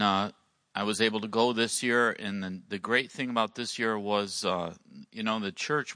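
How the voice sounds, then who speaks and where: A man giving a testimony to his congregation, halting and full of restarts. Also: fairly low pitch, fairly bright tone, no room sound. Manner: halting, with many fillers and restarts. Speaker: church member reporting on a mission trip.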